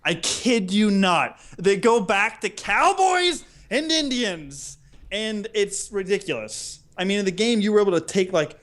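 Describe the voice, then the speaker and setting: A man with a notably high-pitched voice co-hosts a casual podcast. He rants comically, with an excitable, theatrical delivery that acts out reactions as he goes. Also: measured pace. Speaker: podcast co-host. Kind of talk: casual chat, comic ranting.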